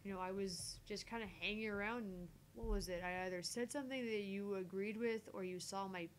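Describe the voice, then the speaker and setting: A woman co-hosting a livestream, talking casually in a frank, self-deprecating way. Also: fairly quick. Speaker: livestream co-host of a gaming channel. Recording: noisy mic with low rumble.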